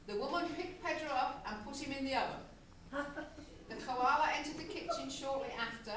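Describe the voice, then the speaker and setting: A woman's voice: high voice, normal speed, fairly bright tone. Echoey, distant woman narrating a puppet story. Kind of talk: storybook narration for amateur comic puppet show. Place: room in a nursing home. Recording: very echoey, noisy, distant room recording.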